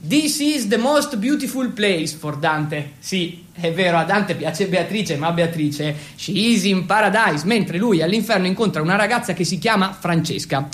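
A man's voice: high-pitched, lively intonation, fairly bright tone. A man with an Italian accent playing an awkward, feverish teenage boy in a theatrical first-person monologue. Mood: rambling, awkward. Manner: halting and fumbling when speaking English.

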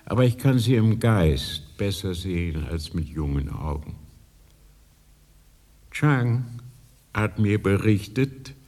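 An actor playing an ancient, frail High Lama in a radio drama, soft and courteous with a slightly melancholic manner. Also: male voice, lively intonation, takes it slowly, pauses often, exaggerated voice. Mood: gentle, welcoming. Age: older. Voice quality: soothingly soft. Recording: long hall reverb.

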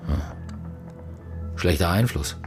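A very deep-voiced, brooding police detective. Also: male voice, expressive, slow, over music. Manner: terse, hesitant, questioning. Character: weary, brooding, insistent male police detective. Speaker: actor in a radio drama. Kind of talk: naturalistic German radio drama dialogue.

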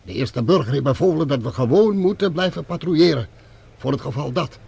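An actor playing a dutiful, watchful guard with an official, matter-of-fact manner in a Dutch radio drama. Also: male voice, a little high, lively intonation, fairly quick, vocal fry, a little mellow, husky, lo-fi sound, exaggerated voice.

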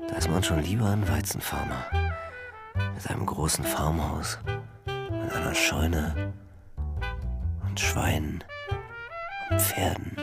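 An actor playing a plain-spoken working-class wagon driver who dreams of farm life, in a German radio play. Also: male voice, sits low, gravelly, full character voice. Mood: drowsy daydreaming.

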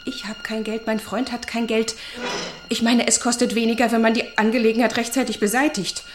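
A woman's voice: a little high, flat delivery, a little fast, over music, natural voice. An actress playing an anxious, love-sick but proud young woman in a German radio drama, urgent and emotional, often sinking toward a whisper. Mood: defiant, urgent.

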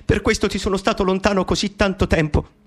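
A man's voice: high-pitched, steady tone, a little fast. An Italian actor in a radio play, playing a persecuted male mathematician who gives an intimate, bitter, pleading confession to his mother. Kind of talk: radio drama monologue.

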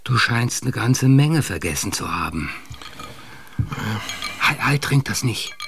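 An actor playing a devoted but uneasy, self-doubting husband in a radio drama. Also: male voice, medium pitch, lively intonation, slow pace, vocal fry, background noise. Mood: uneasy, confiding. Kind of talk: German radio drama acting.

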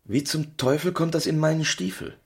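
An actor playing a trusting, puzzled husband in a German radio play, with a husky voice. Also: male voice, a little high, steady tone, normal speed. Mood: baffled.

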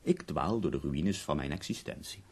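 An actor playing a bedridden, dying poet who speaks in ornate, wry, theatrical phrases in a radio drama. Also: male voice, very deep voice, lively intonation, moderate pace, darkish tone, breathy edge, full character voice. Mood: macabre, wry.

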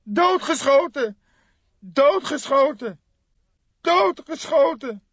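A man's voice: very high voice, animated, takes it slowly, a bit bright, no room sound, muffled recording, voice pushed up, heavily acted. A man voicing a distressed young woman, a doctor's assistant, anxious and hesitant, in an audiobook. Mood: wailing despair.

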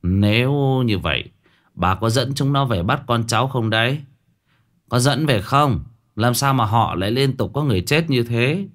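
A man's voice: mid-range voice, lively intonation, moderate pace, fairly bright tone, close to an everyday voice. A man voicing a stern young male shaman who interrogates harshly in clipped phrases.

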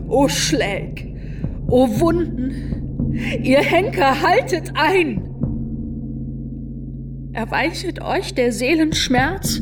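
A devout, grieving woman recites verse in a radio play. Her pleading voice is rather high and slow, slightly distant amid loud noise. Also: exaggerated voice. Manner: pleading, deliberate. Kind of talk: dramatic recitation of devotional verse.

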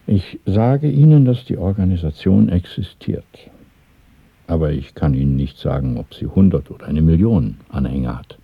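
An actor playing a grave, authoritative conspirator in a radio drama, speaking formally and deliberately as he questions a recruit. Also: deep voice, creaky, husky, no room sound, narrow, tinny audio, full character voice. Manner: formal, deliberate, coolly interrogating. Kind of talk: 1970s German radio drama acting. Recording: thin, band-limited old radio play recording.

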